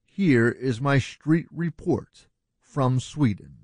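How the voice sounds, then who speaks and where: A precious radio correspondent who speaks slowly and breathily. The recording is dry and close. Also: male voice, mid-range voice, lively intonation, darkish tone, no echo. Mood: earnest. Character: earnest male public radio correspondent.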